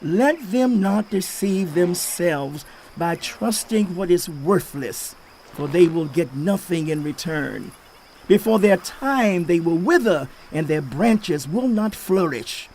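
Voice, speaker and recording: An actor playing a stern aged sage who rebukes and accuses in a deliberate, declamatory dramatized scripture reading. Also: male voice, high-pitched, expressive, darkish tone, a little hiss or hum, heavily acted. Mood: grave, ominous. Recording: faint outdoor insect ambience.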